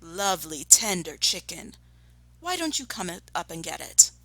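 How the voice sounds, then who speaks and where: A woman reading a storybook aloud as a gruff, goading male farmer who boasts and taunts. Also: fairly low pitch, big pitch swings, moderate pace, pushed and bright, no echo, heavily acted. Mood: taunting.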